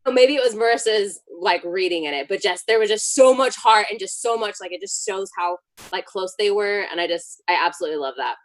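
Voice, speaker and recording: A woman's voice: mid-range voice, a little fast, no echo, rough recording. A woman answering casually and earnestly in an interview, with some hesitation. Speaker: cast member.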